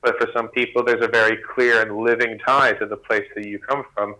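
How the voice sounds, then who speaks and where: A young man speaks as a guest over a video call, quick and earnest. His voice is low-pitched but bright and forceful, and the words come fast and keep tumbling on. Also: flat delivery, low-quality recording. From United States.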